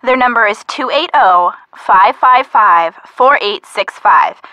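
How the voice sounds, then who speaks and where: Wry, bright-voiced young skeptic. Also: female voice, on the high side, animated, slow pace, no room sound, exaggerated voice. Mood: inquisitive, blunt. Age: young adult. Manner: wry, blunt, inquisitive. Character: wry, skeptical, no-nonsense young woman, detective's friend. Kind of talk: video game voice acting, phone conversation.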